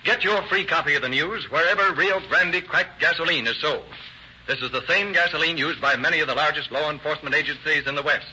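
A man's voice: fairly high pitch, bright, forceful tone. A man announcing in a polished, emphatic 1930s radio style, reading scripted narration and sponsor copy. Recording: muffled, hissy old radio recording.

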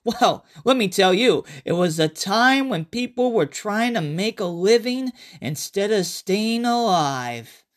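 Chatty horror-tale survivor narrator, male reader: high pitched, emphatic, animated first-person storytelling. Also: slow pace, few pauses. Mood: animated, foreboding.

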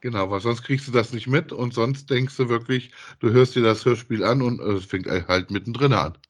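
A man's voice: mid-range voice, normal speed. A man co-hosting a podcast, chatting casually in a rambling, repetitive way as he reviews. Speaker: podcast co-host.

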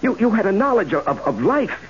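An American actor playing an agitated, practical husband, exasperated and insistent, in an old radio drama. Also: male voice, high-pitched, big pitch swings, a little fast. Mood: pleading. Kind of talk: 1970s radio drama acting. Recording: dull, hissy old radio recording.

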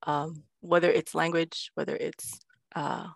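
A woman's voice: low voice, measured pace, creaky, no echo, clean studio sound. A woman in her thirties, a podcast guest chatting casually, switching between English and Indonesian as she tells stories. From Philippines. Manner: mixes English and Indonesian, animated storytelling. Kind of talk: casual chat.

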